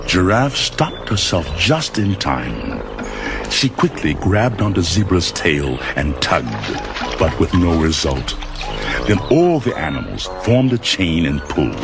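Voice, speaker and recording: A man narrating a children's storybook audiobook in a dramatic, deliberate storytelling style. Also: low-pitched, very animated, creaky. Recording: loud music and animal sounds behind.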